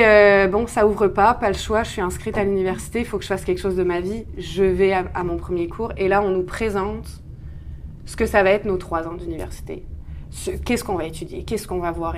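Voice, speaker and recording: A woman's voice: lowish voice, slight background noise. A woman in her thirties from France with a French accent, talking at length in a conversational, self-deprecating way as an interview guest. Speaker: interview guest, urban planner. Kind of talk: conversational interview, personal storytelling.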